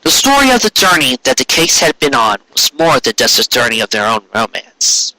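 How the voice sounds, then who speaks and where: Bright, dry-sounding male story narrator. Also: mid-range voice, wildly swooping pitch, normal speed, no echo, poor audio. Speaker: amateur audiobook reader.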